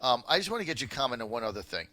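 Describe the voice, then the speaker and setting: An American man hosting political talk radio, with an incredulous, emphatic and halting way of talking. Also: medium pitch, sing-song, pushed and bright, no room sound. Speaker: radio talk show host.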